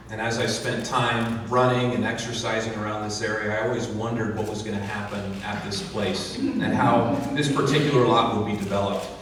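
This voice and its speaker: Guy giving a thank-you speech at a ribbon cutting, lowish voice, far away and echoey, bit of background noise. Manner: earnest, thankful, with many fillers and restarts. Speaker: county official giving a speech. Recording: distant echoey outdoor PA sound.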